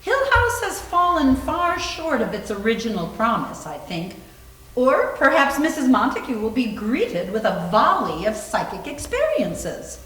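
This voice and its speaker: A woman's voice: on the high side, big pitch swings, unhurried, fairly bright tone. A woman reading the part of a brittle, sardonic young woman in an arch, theatrical manner, as in readers theater. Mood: sardonic, uneasy. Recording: roomy echoing live reading.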